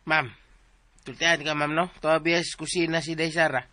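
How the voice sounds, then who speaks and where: A bumbling male household helper with a Filipino accent, high and sharp in voice. He is chatty, teasing and deferential in a comic radio-play way, recorded close and dry. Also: little pitch movement, normal speed, dry recording. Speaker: radio drama actor. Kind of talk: comic radio drama acting.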